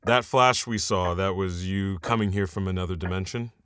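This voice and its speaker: An actor playing a wary, commanding leader of a post-war community in an audio drama, speaking in a measured, blunt way. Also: male voice, sits low, a bit bright, a little hiss or hum. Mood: skeptical.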